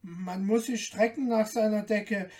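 A man voicing a small, boastful, cheeky tailor in a fairy tale, with a high, light, cocky voice. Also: steady tone, unhurried, warm tone, distant mic. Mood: wary, casual.

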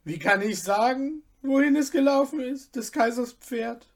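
A shrewd merchant speaks in a raised, measured voice, as a storyteller puts him on, laying out every detail with quiet mockery. He is unhurried and sly. The storybook reading moves slowly. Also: sits high, lively intonation, voice pushed up. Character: sly shrewd middle-aged male merchant.

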